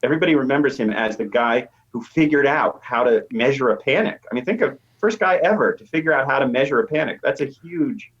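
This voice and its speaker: A man's voice: mid-range voice, animated, a little fast, few pauses, fairly bright tone, breathy edge. A middle-aged or older American man, a professor guest explaining things conversationally and emphatically in an interview. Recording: dull, slightly lo-fi voice track.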